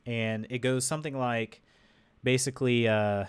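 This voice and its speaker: A man with a stuffy, congested voice talks casually into a desk microphone during a game stream. His pitch sits in an ordinary middle range. The sound is close and dry, with no room around it. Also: steady tone, normal speed, smooth, no room sound. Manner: rambling, loose, many fillers and restarts. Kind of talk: casual livestream chat.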